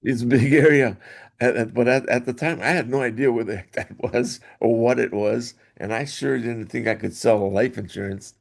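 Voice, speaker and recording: A middle-aged man from Hawaii, a guest in an interview, telling his story in a rambling way with restarts and soft laughs. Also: mid-range voice, moderate pace, vocal fry. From Hawaii, Oahu. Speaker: guest, athletic director association executive. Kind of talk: casual interview talk.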